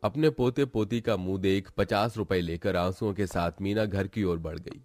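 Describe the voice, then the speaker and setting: A man's voice: sits low, normal speed, a little mellow, no room sound, studio quality. A man as narrator, reading a scripted cartoon story.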